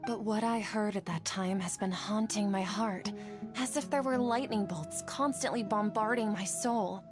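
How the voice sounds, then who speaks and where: A woman plays an idealistic scholar from a video game. Her mid-pitched voice is polite, modest and articulate, speaking earnestly over clearly audible music. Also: moderate pace, lightly acted. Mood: reflective. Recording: music under the voice.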